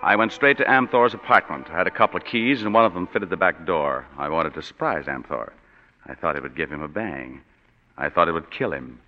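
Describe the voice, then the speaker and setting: An actor playing a wisecracking, hard-boiled private detective with dry, clipped narration, in 1940s radio acting. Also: male voice, sits low, expressive, fairly quick, faint music behind. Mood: narrating. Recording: dull reverberant 1940s radio broadcast.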